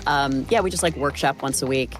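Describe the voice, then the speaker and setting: A young American woman with a low voice, talking casually and informally as an interview guest, often saying "like". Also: a little fast, rough recording. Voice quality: low-pitched voice. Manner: informal, chatty, heavy use of "like". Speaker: voice actor being interviewed. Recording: music playing behind the voice. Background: background music.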